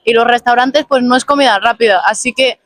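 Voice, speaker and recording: A young woman with a Spain Spanish accent, half hoarse, chatting casually while reviewing food for her vlog. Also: a little high, dry recording. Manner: chatty, playful, quick asides. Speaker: food vlogger. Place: fast food restaurants and the street outside.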